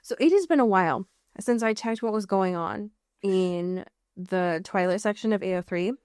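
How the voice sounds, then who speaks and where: An American woman co-hosting a podcast in casual, comedic chat, riffing with mock exasperation and drawn-out emphasis. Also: mid-range voice, darkish tone, clear, resonant tone, no echo, clean studio sound. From US Pacific Northwest. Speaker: podcast co-host.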